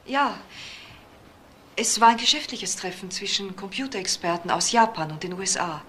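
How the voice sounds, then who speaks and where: An actress playing a bereaved, guarded young fiancée and protective daughter in a crime radio drama. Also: female voice, medium pitch, animated, normal speed, a bit bright, a little hiss or hum, lightly acted. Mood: subdued, guarded. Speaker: actor.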